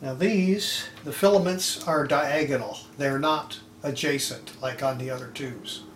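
A man's voice: medium pitch, unhurried, a bit bright. An older man giving a casual, folksy workbench demonstration, half muttering to himself as he works. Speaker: hobbyist restorer presenting his repair video. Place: indoor home electronics workshop. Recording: roomy echo, clattering tool and handling noise.

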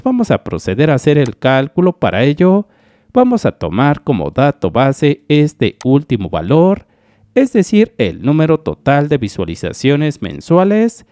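Lively man explaining channel stats, dry. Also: mid-range voice, no room sound. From Mexico. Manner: lively explanatory, repeats "mis queridos amigos". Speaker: YouTube channel analyst host. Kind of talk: screen-recorded earnings analysis voice-over.

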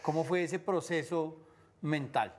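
Probing Colombian TV interviewer. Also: male voice, a little high. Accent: Colombian Spanish. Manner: measured, probing questions. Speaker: TV host interviewer. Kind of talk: studio interview questioning. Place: TV studio set. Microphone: lapel mic.

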